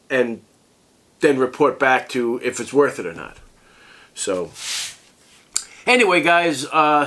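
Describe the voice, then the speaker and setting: A sick, congested man rambling through a casual vlog as a tech review host, halting and restarting often. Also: mid-range voice, fairly bright tone.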